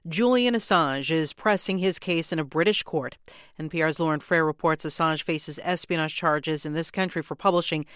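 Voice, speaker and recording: A woman's voice: low-pitched, normal speed, fairly bright tone, clear, resonant tone, no echo, clean studio sound. A woman with an American accent reading scripted radio news as an anchor.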